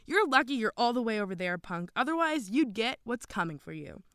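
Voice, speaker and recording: A woman's voice: on the high side, expressive, moderate pace, no room sound, studio quality, voice pushed up, natural voice. A woman voicing a hot-tempered, sarcastic teenage boy in acted storybook dialogue. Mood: angry, threatening.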